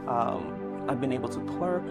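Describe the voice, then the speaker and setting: A woman in her forties from New York, telling personal stories as an interview guest in an animated way, acting out remembered dialogue. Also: rumbling low, moderate pace, over music. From Brooklyn, New York, USA. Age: 40s. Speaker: interview guest, lawyer and law professor.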